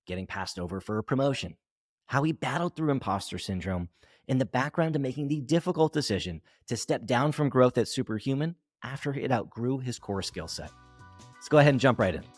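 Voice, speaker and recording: Lively podcast host. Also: male voice, mid-range voice, a little fast, no echo, clean studio sound. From US East Coast. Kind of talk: podcast intro and interview questions.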